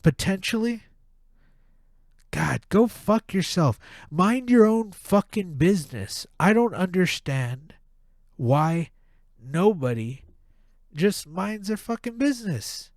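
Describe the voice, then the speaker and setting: A man with a blocked, nasal voice rants heatedly, swearing as he goes. He talks at an unhurried, drawn-out pace. The sound is close and dry, with no room echo. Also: high-pitched, lively intonation, darkish tone. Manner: profane, heated ranting. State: stuffed-up nose, nasal. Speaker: podcast host. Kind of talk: casual ranting monologue.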